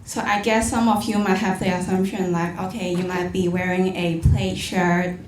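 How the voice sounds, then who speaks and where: A woman's voice: fairly low pitch, level tone, normal speed. A young woman in her early twenties with a Hong Kong English accent, a student giving a friendly prepared talk straight to the audience. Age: early 20s. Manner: friendly, speaks directly to the audience. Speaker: student speaker. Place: lecture hall. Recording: roomy hall, distant mic, faint hum.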